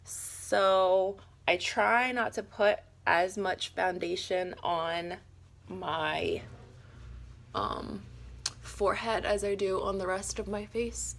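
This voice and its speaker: A young woman with a raspy, hoarse voice from a cold, chatting casually and a little nervously through a makeup tutorial. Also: mid-range voice, fairly bright tone, a little hiss or hum. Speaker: beauty vlogger.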